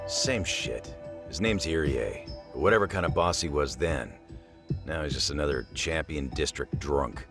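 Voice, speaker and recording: A man playing a blunt, cynical, streetwise underworld informant with a gravelly voice in an English game dub. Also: extremely low pitch, animated, moderate pace. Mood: dismissive. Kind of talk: video game cutscene dialogue. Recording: music behind the voice.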